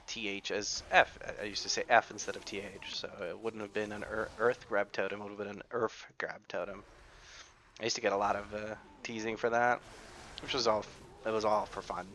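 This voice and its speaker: A man's voice: on the low side, level tone, brisk, bright, forceful tone, slight background noise. A man with an American accent giving chatty, rambling gameplay commentary and often correcting himself. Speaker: gaming YouTuber.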